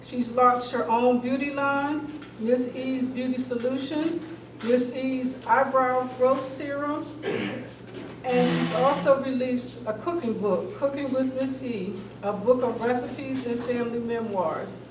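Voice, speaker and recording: High-voiced woman emcee in echoey hall. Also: fairly even intonation, slow. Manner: reads from a script. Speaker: emcee introducing honorees. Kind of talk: reading introductions at a reception. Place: hall at a museum reception. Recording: echoey hall recorded from far off with noise.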